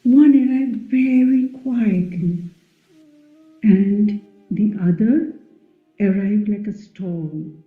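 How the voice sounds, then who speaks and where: A woman's voice: medium pitch, very slow, pauses often. A woman giving scripted, dramatic narration for a play reading. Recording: muffled lo-fi, echoey, music underneath.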